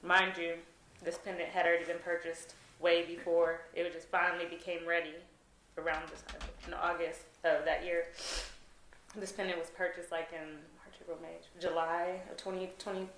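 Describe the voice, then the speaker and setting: Young woman, candid interview guest; earnest, halting delivery, echoey room with light noise. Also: on the low side, flat delivery, a bit bright. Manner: earnest, hesitant with many fillers. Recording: roomy with faint noise.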